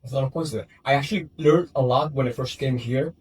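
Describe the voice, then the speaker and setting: A man hosts an interview in a chatty, casual way. His questions wander and circle back before he lands on them. His voice is slightly husky, at a middle pitch. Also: quick pace, a little mellow.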